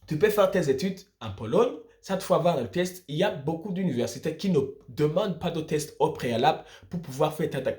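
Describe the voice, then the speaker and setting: Young man, fairly high voice, very lively intonation, emphatic informal explainer, repeats himself. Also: brisk, hardly any pauses. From Burundi. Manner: emphatic, repeats and rephrases points. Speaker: student vlogger giving study-abroad advice. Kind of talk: informal explainer addressed to viewers.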